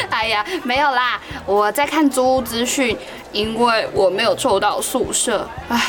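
A woman's voice: on the high side, sing-song, unhurried, poor audio. A woman with a Taiwanese accent playing a scatterbrained, bubbly, naive young university student in an audio drama. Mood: flustered, grateful. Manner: giggly, exclamatory. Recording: music behind the voice.

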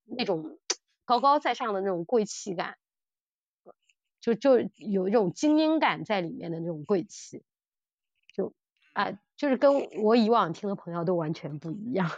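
A woman chats as a podcast co-host in an enthusiastic, conversational way. Her voice sits at a medium pitch for a woman. The recording is dry and a little muffled. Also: animated, normal speed, long pauses, darkish tone, no room sound. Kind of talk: casual discussion of audio dramas.

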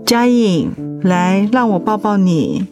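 An actress playing a warm, reassuring close friend in a radio drama, expressive and encouraging. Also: female voice, mid-range voice, slow pace, over loud music. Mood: concerned, reassuring.

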